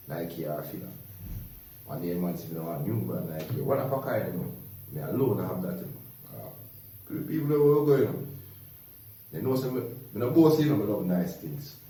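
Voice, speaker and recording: A man's voice: low-pitched, mellow, heavy reverb, recorded from a distance, a little hiss or hum, poor audio. A laid-back Jamaican man sharing stories in a casual chat, often chiming in with short agreements. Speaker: guest.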